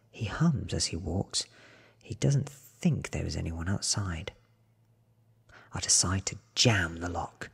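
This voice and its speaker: A man in his thirties softly reading a story ASMR style in a breathy whisper, close to the microphone. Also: sits low. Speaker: ASMR book reader. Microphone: close studio microphone.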